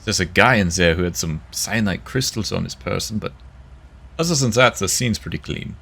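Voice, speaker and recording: A man playing a guarded, matter-of-fact investigator in a sci-fi audio drama, with a dry, measured delivery. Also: low voice, lively intonation, gravelly, pushed and bright, exaggerated voice.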